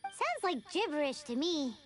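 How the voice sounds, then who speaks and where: A woman voicing a tiny, cheeky, excitable fairy companion in a video game, with a squeaky childlike voice. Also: a little slow. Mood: puzzled, cheeky. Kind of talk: English video game voice acting. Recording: loud game music behind.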